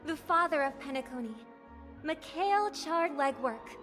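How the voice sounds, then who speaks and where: An actress playing a poised young singer idol who gives a measured, formal, gracious ceremonial speech in a game. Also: female voice, high-pitched, expressive, a bit bright, faint music behind.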